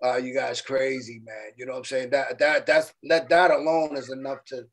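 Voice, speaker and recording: A man's voice: medium pitch, steady tone, moderate pace. A middle-aged man co-hosting a podcast over a video call, laid-back and chatty, often saying "you know what I'm saying" and "man.". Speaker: podcast co-host. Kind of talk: casual interview chat. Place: indoor room with virtual backdrop. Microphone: webcam on a video call.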